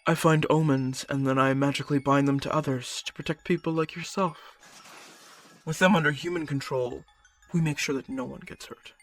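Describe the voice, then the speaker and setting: A man playing a calm, persuasive magical creature specialist in an audio roleplay, speaking directly to the listener in a measured, coaxing and instructive way, with music behind him. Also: fairly high pitch. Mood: explaining, assured. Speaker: voice actor.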